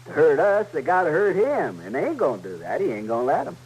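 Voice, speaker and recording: An actor playing a scheming Western outlaw leader in an old-time radio drama, with a rural American West accent and a folksy, commanding way of talking. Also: male voice, on the high side, sing-song, fast talker, a little mellow, slightly rough, heavily acted, poor audio. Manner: folksy, commanding drawl with tag questions. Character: domineering, scheming Western outlaw leader bossing his slow partner. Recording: old radio recording with faint hum and noise.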